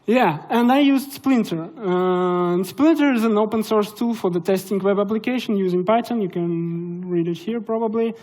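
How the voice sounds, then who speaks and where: A man's voice: sits high, moderate pace, few pauses, darkish tone. A young man from Russia with a Russian accent, giving a casual, hesitant conference tech talk through a stage microphone. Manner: casual, hesitant, many fillers. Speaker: conference speaker. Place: conference hall.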